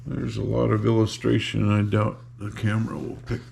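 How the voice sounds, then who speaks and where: An older man reading a children's book aloud in an unhurried, informal, grandfatherly way, with a gravelly voice and casual asides. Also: lowish voice, level tone, darkish tone, slight background noise. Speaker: storybook reader and host.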